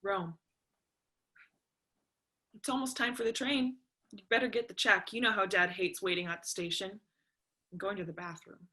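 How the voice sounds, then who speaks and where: A woman reading the part of a defiant, sharp-tongued young art-student daughter in a stage drama, sarcastic and confrontational. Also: mid-range voice, fairly even intonation, moderate pace, long pauses, natural voice. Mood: subdued, cool.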